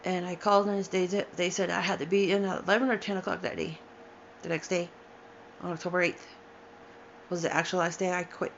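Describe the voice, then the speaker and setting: A woman in her forties telling a painful personal story, halting and emotional, with sighs and restarts, tired and upset. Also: on the low side, flat delivery, dull audio, a little hiss or hum. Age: 40s. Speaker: storyteller sharing a personal account. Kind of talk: unscripted personal testimony.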